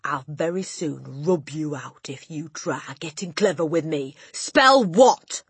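A bellowing, tyrannical giant headmistress. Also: female voice, low voice, lively intonation, breathy edge, no echo, heavily acted. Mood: indignant, threatening. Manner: bellowing, snorting, barking insults in drawn-out emphatic bursts. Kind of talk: audiobook character voice.

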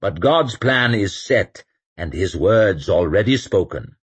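A man voicing a calm, fatalistic cleric who intones his words. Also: low voice, slightly rough, exaggerated voice. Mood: cold intoning. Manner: intoning, measured. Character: cold pious male cleric, calm and fatalistic.

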